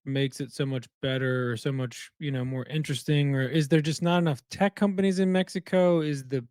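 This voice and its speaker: A man's voice: medium pitch, steady tone, a little fast, a little mellow, smooth. A male podcast host talks casually, stringing his questions together with plenty of fillers and restarts. The sound is dry and close, with no room echo around his voice. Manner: rambling, filler-heavy questions. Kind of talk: casual interview chat.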